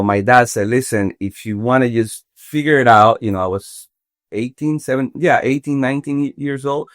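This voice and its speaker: A man's voice: medium pitch, no room sound, clean studio sound. A man in his forties from Venezuela, a contractor talking as a podcast guest, thinking aloud with many restarts. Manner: halting, thoughtful, many restarts. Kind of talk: casual interview talk. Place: podcast studio.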